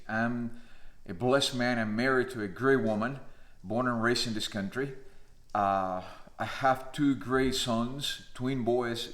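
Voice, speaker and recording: A man's voice: mid-range voice, fairly bright tone. Earnest Cuban-accented man in his fifties. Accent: Cuban Spanish. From Cuba. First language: Spanish. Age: 50s. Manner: heartfelt and emphatic, with frequent "uh" fillers. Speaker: pastor. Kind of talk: earnest personal testimony to camera. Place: indoors, in front of a flag.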